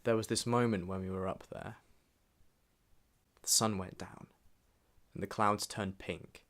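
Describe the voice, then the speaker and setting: Low-voiced young British man narrating. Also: steady tone, measured pace, fairly bright tone. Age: young adult. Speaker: video creator narrating own year review. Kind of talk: scripted reflective voice-over narration.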